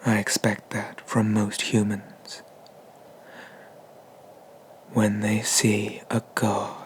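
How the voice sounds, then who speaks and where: A man voicing an ancient, haughty and menacing dragon god of the sea in a breathy, pompous whisper for an ASMR roleplay. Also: on the low side, monotone, slight background noise. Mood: curious, looming. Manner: slow, pompous, condescending, with drawn-out pauses.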